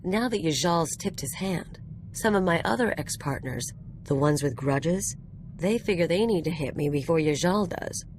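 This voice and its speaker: A cocky, low-voiced female rogue. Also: animated, normal speed, darkish tone, a little hiss or hum. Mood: cocky, teasing. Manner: casual, teasing, swaggering. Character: cocky, flirtatious female rogue with a shady past. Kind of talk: video game voice acting.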